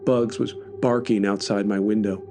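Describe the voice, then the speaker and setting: Male horror podcast narrator, low pitch, scripted first-person storytelling over music. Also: steady tone, moderate pace.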